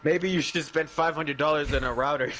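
A man's voice: a little high, steady tone, brisk, a bit bright, a little hiss or hum. A young man chatting casually while gaming online, teasing and mocking the others with plenty of swearing. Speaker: gaming video host playing online. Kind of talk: casual game voice chat.

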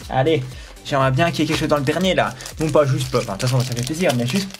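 A man's voice: fairly high pitch, racing. A young man chatting casually with a friend as he opens card packs, rambling and laughing often. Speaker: co-host. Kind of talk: casual chat while opening card packs. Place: small room indoors. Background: faint music.

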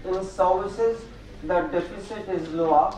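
An older man giving a slow, halting keynote lecture at a conference lectern, with many pauses and fillers. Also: high-pitched, dark tone, far from the mic. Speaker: keynote speaker. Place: large auditorium at a lectern. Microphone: podium microphone, room sound. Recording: echoey hall, voice from afar, faint noise.

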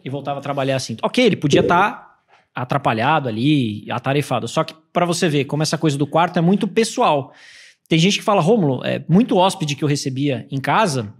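Podcast guest in his thirties: a man with a higher voice who tells anecdotes with plenty of energy. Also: fairly quick, slightly rough. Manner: animated storytelling, acts out quoted people. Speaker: podcast guest, Airbnb host. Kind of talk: casual podcast chat. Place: podcast studio. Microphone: studio mic with headphones.

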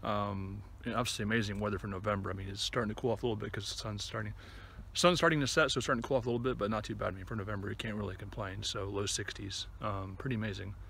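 A man in his thirties chatting casually and a bit haltingly to the camera as he walks a trail outdoors. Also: fairly low pitch, steady tone, fairly bright tone. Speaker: hiking vlogger. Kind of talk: casual vlog chat to camera while walking. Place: outdoors on a wooded trail and open meadow. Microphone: phone or camera at arm's length. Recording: outdoor recording with clear ambient noise.

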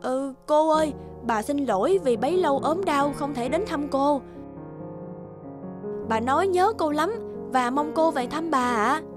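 A woman's voice: sits high, measured pace, music in the background. A woman playing a timid, respectful young maidservant in a cartoon, deferential and a little hesitant.

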